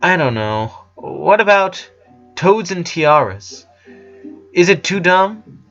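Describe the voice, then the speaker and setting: A man's voice: high voice, very animated, slow, gravelly, fairly bright tone, muffled recording, soft background music, voice pushed up, heavily acted. A man reading the part of a kind, worried eleven-year-old boy in a story, hesitant and earnest. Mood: worried, weary.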